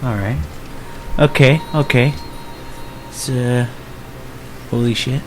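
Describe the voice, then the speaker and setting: A man's voice: mid-range voice, slow pace, slight background noise, poor audio. A man playing a game on a livestream, commenting casually on his moves with exclamations and swearing. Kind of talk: casual gameplay commentary, reacting aloud.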